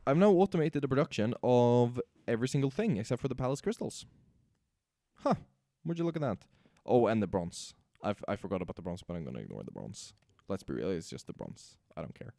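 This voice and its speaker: Hoarse male streamer, mellow and lively. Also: mid-range voice, a little fast, dry recording. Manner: talks to himself while building machines. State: raspy, sore voice. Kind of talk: casual gameplay commentary.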